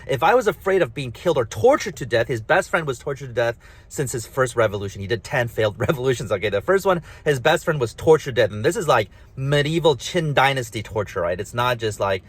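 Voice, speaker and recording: A man with a nasal, slightly mumbly voice talks casually on his own, full of fillers and emphatic asides. His pitch sits in the middle for a man. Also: expressive, fast, slightly rough. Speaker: host. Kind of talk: rambling solo talk.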